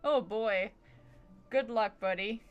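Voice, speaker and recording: A woman's voice: mid-range voice, slow, highs cut off, heavy background noise. A woman streaming a video game with casual, chatty, playful commentary, often muttering to herself.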